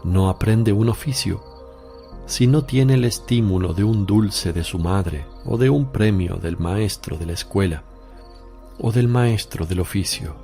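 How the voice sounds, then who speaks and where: An authoritative master teaching disciples in a religious radio drama. He speaks very slowly and solemnly, in a mellow tone. Music sits behind him. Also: lowish voice. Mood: solemn, firm. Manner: measured, solemn, exhortative.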